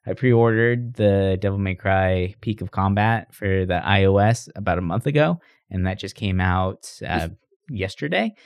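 A man's voice: low voice, moderate pace, no echo. A man co-hosting a podcast, chatting casually about games in a rambling, informal way. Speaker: podcast co-host. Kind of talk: casual gaming chat.

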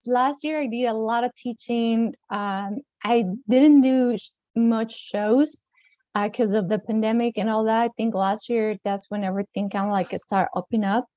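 A woman from Mexico with a Latin American Spanish accent, talking as an interview guest in a casual way. Her fairly high voice is mellow and soft-edged, and she often pauses to find words and laughs between phrases. The recording is dry and close. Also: fairly even intonation, no room sound, dull audio. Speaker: guest, dance teacher.

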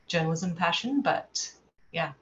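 An Australian woman with some American in her accent speaks in a notably low voice. She is an easygoing podcast guest chatting casually from home, with some faint noise behind her. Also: animated, measured pace, a bit bright, lo-fi sound. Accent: Australian with American influence. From Adelaide, Australia. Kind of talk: casual interview chat. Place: at home, remote call.

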